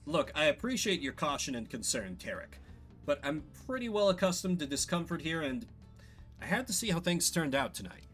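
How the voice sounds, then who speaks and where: A man's voice: fairly high pitch, very animated, moderate pace, a bit bright, faint music behind. A man voicing an easygoing, kindly young exile in a video game, speaking in a casual, friendly way. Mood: easygoing, grateful.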